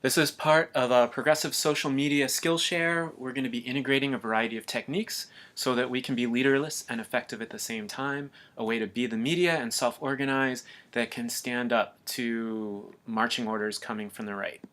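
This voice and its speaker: A man giving a calm, earnest scripted voice-over narration for an explainer video. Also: mid-range voice, brisk, few pauses.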